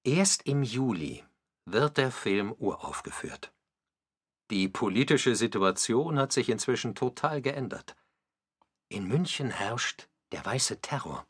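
A man narrating a scripted radio documentary drama in a husky voice. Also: medium pitch, lively intonation, takes it slowly. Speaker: narrator and commentator.

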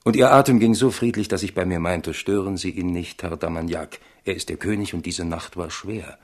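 King's loyal attendant in a radio play, really deep n husky, wry, measured, a bit pompous. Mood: wry reproach. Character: loyal male attendant, admonishing, philosophical, wry. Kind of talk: German radio drama acting.

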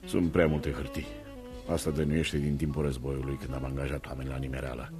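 An actor in a radio play playing a stern, blunt older businessman and protective father-in-law, speaking in a commanding, deliberate manner. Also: rumbling low, little pitch movement. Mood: brusque, businesslike. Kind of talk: radio theatre acting. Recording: music behind a radio play.

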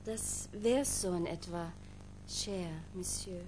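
A woman's voice: fairly low pitch, slow pace, dark tone, slight background noise, low-quality recording. An actress playing an eager, affected middle-aged landlady in a radio drama, with a gushing, sing-song manner. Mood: eager, coaxing.

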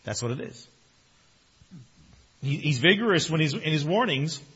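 A male pastor preaching a sermon in a conversational way, his voice a little strained, swinging between quiet and loud. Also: a little high, expressive, moderate pace, husky, dull audio. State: slightly strained voice.

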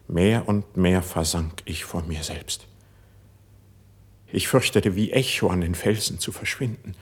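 An actor playing a passionate, melancholic young court poet in solemn, declamatory classical verse for radio drama. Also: male voice, deep voice, slightly rough, exaggerated voice. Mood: awed, self-doubting. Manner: solemn, measured, declamatory delivery.

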